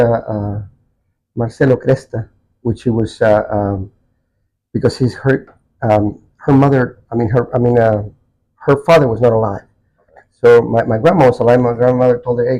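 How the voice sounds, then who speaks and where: A man in his sixties from Chile, a Spanish speaker, telling personal stories haltingly as he searches for words. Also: mid-range voice, dark tone, poor audio. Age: 60s. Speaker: podcast guest. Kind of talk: personal storytelling in a casual interview.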